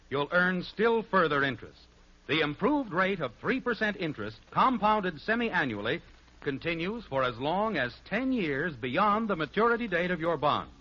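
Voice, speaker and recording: Male American announcer, old-time radio, lively pitch swings, emphatic salesmanship, dull archival sound. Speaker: announcer and narrator. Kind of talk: 1950s radio scripted announcing.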